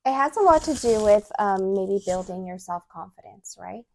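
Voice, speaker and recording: A woman guest chatting casually on a podcast, animated, with frequent fillers and laughter. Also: medium pitch, moderate pace. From Chilean heritage. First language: English. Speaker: podcast guest, nonprofit founder. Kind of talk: casual interview chat.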